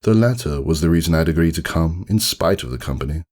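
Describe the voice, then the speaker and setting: Casting: man to play haughty noble unicorn, storybook narrator, low register, sweeping intonation, theatrical and brooding. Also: expressive, fast talker, darkish tone. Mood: wistful, formal. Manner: pompous, wordy, theatrical, ruminative. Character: haughty, melancholy aristocratic male unicorn narrating his story. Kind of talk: dramatic storybook reading, first-person monologue.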